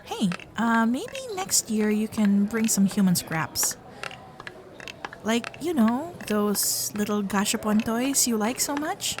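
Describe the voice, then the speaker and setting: A woman's voice: on the high side, animated, takes it slowly, noisy background. A woman voicing a sweet, flustered young fox-spirit girlfriend in an ASMR roleplay, with a nervous, stammering, caring manner. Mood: amused, explaining.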